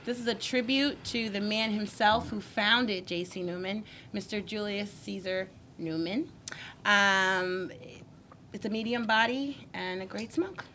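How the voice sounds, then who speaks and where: A woman's voice: medium pitch, unhurried, pushed and bright, a little hiss or hum. A woman on a cigar review panel, presenting the featured cigar in playful, chatty talk with an irritated throat that makes her cough. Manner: chatty, playful, laughs often. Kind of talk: casual panel chat.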